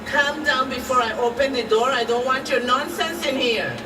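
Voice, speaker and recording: A woman's voice: sits high, moderate pace, roomy sound, recorded from a distance, background noise, close to an everyday voice. An actress in a radio drama playing an anxious, protective mother who pleads and scolds. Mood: wary, irritated.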